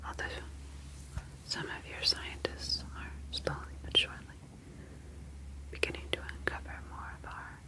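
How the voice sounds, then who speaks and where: A woman playing a gentle, reassuring humanoid ocean alien in a soothing, formally phrased ASMR sci-fi roleplay. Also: moderate pace, pauses often, natural voice, low-quality recording. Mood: calm, explanatory.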